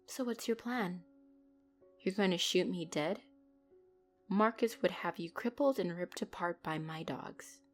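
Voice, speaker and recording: A woman's voice: on the low side, unhurried, pauses often, soft background music. A woman playing a commanding, possessive female mafia boss in a dramatic audio roleplay, condescending and controlled, lacing mock sweetness with threats. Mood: taunting threat.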